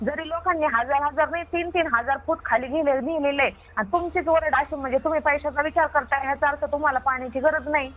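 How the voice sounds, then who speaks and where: Comic phone skit: woman acting a self-assured rural Marathi water diviner, high and quick, argumentative, stubbornly repeating herself. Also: steady tone, a bit bright, poor audio. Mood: defensive, indignant. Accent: Marathi, rural Maharashtra.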